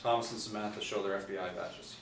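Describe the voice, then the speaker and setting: A man's voice: on the low side, steady tone, fast talker. A young adult man narrating stage directions as a scripted reading at a table read. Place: small meeting room, seated in a row. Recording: echoey room, distant mic, faint noise.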